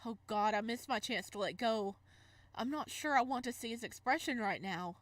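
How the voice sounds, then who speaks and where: Girl streamer reading a visual novel out loud, kinda high voice, giggly, trips over words. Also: moderate pace, no echo. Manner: giggly, stumbles while reading. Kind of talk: let's play read-aloud with casual reactions.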